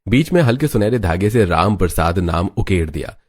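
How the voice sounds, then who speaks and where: Deep-voiced man narrating a story. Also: expressive, moderate pace, darkish tone, no room sound. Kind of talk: scripted Hindi cartoon story narration.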